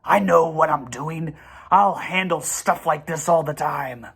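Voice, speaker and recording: A performer voicing a sly, surly male rat with a sharp voice in a storybook reading. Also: mid-range voice, a little slow, husky, voice pushed up. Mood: snarling.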